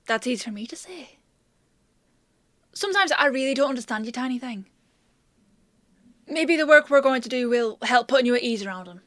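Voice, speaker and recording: A woman's voice: high-pitched, a bit bright, no echo, clean studio sound. A woman playing a chatty, confident country apothecary in a fantasy audio roleplay, explaining and teasing as she talks to the listener. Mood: puzzled, reassuring. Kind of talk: one-sided roleplay acting addressed to the listener.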